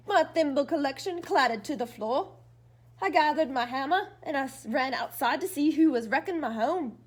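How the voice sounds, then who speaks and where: A woman reading the part of a feisty, proud little old leprechaun cobbler from a storybook, with boastful, folksy phrasing. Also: high voice. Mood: indignant storytelling.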